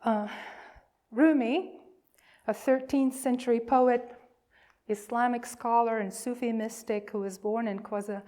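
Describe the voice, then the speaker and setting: A woman leads a church service as its minister. Her voice sits a little high and moves very slowly. She reads with reverence, stressing phrases and leaving deliberate pauses. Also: steady tone, mellow. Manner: measured, emphatic, with deliberate pauses. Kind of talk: worship address and reverent reading.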